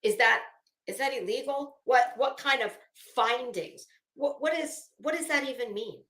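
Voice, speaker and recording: Woman, highish voice, sarcastic, theatrical reading, snarky ad-libs, salty language, very roomy sound. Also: moderate pace, a bit bright. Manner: sarcastic, brash, profane, theatrical. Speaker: YouTube commentary host. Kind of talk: dramatic reading with snarky ad-lib commentary. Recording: echoey room.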